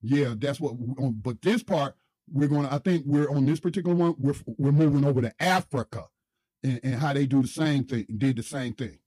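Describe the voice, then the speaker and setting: A man hosting a livestream, giving casual commentary in a halting way as he searches for his words. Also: medium pitch, warm tone, no room sound. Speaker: livestream host.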